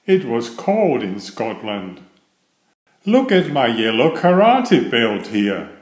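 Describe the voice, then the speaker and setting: A man reads a picture book aloud with an expressive, animated delivery. His pace is slow and deliberate, and the room around his voice is noticeably echoey. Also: medium pitch. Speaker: children's book reader.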